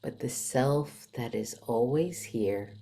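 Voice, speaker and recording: A woman leading a guided meditation in a soft, gentle manner, with long pauses and some breathiness. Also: extremely low pitch, expressive.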